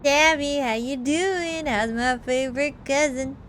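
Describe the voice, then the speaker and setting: A woman's voice: high-pitched, measured pace, fairly bright tone, a little hiss or hum, pitched above the performer's own voice. A woman voicing a friendly, eager, apologetic young woman in a visual novel game, chummy and over-earnest.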